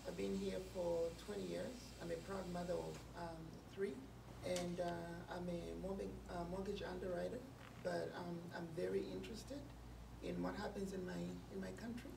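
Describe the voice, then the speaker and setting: A woman speaks in a low, heavily gravelly voice with pride. She is picked up from a distance in a roomy space with noise underneath. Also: level tone, normal speed. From Zambia. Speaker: political blogger. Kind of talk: talk show panel introduction. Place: studio. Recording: roomy, distant mic, noisy.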